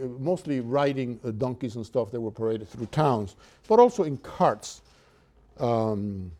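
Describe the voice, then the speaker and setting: Brief: Cuban-accented professor lecturing, warm, mellow tone, halting delivery. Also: male voice, medium pitch. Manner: halting, with many filler sounds. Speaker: literature lecturer. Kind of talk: university lecture.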